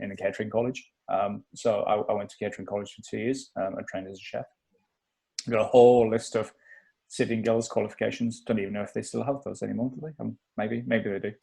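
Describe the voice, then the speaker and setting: A man's voice: on the low side, a little mellow. A middle-aged man from South Wales with a South Welsh accent, chatting as a podcast guest, self-deprecating and full of fillers. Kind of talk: casual interview chat.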